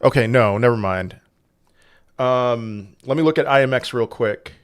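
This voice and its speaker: A man's voice: mid-range voice, a little slow, clean tone. Man casually answering livestream chat. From Portugal. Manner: rambling, reacts to chat questions, frequent restarts. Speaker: crypto livestream host. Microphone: desk microphone.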